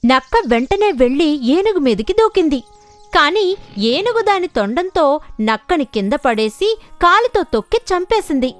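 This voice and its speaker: A woman's voice: sits high, big pitch swings, measured pace, soft background music. A woman narrating a scripted children's story as a storyteller.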